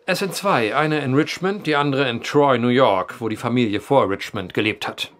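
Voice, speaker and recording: An actor playing an insistent inquiring gentleman in a radio drama, dryly witty and precise. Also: medium pitch, normal speed, soft background music, natural voice. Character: dry, insistent, well-informed inquiring gentleman.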